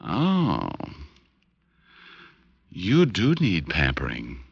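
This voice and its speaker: A man's voice: sits low, huge pitch swings, very slow, creaky, exaggerated voice. An actor playing a hard-boiled male private detective who narrates with dry, wry banter in a 1950s radio drama. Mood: teasing tender. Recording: muffled vintage radio broadcast.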